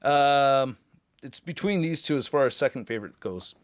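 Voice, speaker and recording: A man's voice: mid-range voice, lively intonation, normal speed, no echo. A man giving a casual, chatty, opinionated hands-on review of action figures as the host of a toy channel.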